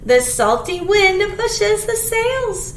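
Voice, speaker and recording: Woman, children's read-aloud, sing-song, extremely slow, roomy sound with faint noise. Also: extremely high pitch. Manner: sing-song, expressive, simple questions to children. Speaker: children's storytime librarian. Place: small indoor room.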